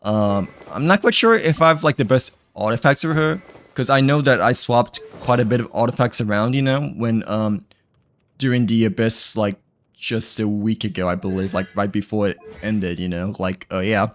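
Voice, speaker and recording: A man's voice: on the low side, normal speed. A man livestreaming a game, chatting in a rambling way full of fillers and giggles, his throat a little dry and tired. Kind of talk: casual game stream chat.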